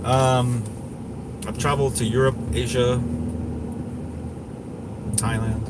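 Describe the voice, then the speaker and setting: A man's voice: mid-range voice, level tone, slow. Guy livestreaming, rambly casual opinions, mid voice, traffic-like noise behind him. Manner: rambling, opinionated, repeats himself. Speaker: tech livestream host. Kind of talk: casual livestream chat, answering viewers.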